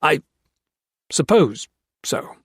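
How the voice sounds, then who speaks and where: A man voicing a defensive, blustering army colonel who snaps sharply and turns to shouting. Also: on the high side, sing-song, very slow, slightly rough, no echo, clean studio sound, pitched above the performer's own voice, heavily acted. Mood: panicky.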